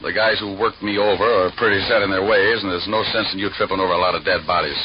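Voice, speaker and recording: Low-voiced hard-boiled radio detective, played by a man. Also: fairly even intonation, fast, very noisy, lightly acted. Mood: probing, sardonic. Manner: dry, sardonic, clipped tough-guy wisecracks. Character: wisecracking hard-boiled male private detective, tough, weary, sardonic. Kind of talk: 1940s-50s radio noir acting and first-person narration. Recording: muffled vintage radio recording, music behind.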